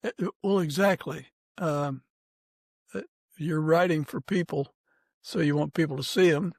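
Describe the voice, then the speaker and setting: A man with a fairly high voice and noticeable creak hosts an interview podcast. He speaks very slowly and deliberately, setting out each phrase with care. The sound is dry and close. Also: no echo. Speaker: podcast host. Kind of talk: conversational interviewing.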